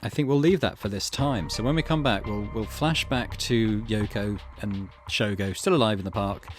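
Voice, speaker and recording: A man's voice: fairly low pitch, normal speed, soft background music. A middle-aged man giving casual let's play commentary, easygoing and thinking aloud as he goes. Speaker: gaming channel host.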